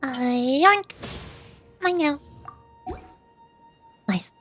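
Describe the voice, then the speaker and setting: High-pitched playful woman streamer over music. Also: expressive, very slow, a bit bright. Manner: playful, rambling, muttering asides to self. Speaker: livestream host, VTuber playing a video game. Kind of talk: casual gaming stream chat. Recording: music behind the voice.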